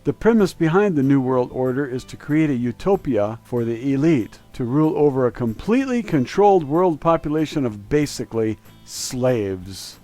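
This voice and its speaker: A man reading a scripted documentary narration in a measured, declarative way. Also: mid-range voice, sing-song, warm tone, faint music behind.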